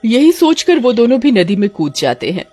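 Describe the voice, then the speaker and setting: A woman's voice: fairly high pitch, very animated, normal speed, dark tone, slightly rough, faint music behind. A woman giving scripted story narration for a cartoon.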